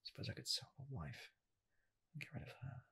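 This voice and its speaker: A man playing an earnest rocket scientist applying for a fast food job, in a soft, half-whispered ASMR roleplay voice that rambles and restarts. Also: mid-range voice, lively intonation, gravelly, husky, heavily acted. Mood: torn. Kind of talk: ASMR personal attention roleplay.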